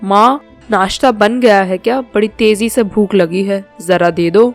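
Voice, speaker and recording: A woman's voice: medium pitch, unhurried, soft background music, lightly acted. A woman voicing a puzzled grown son, questioning and baffled, in storybook dialogue. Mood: impatient.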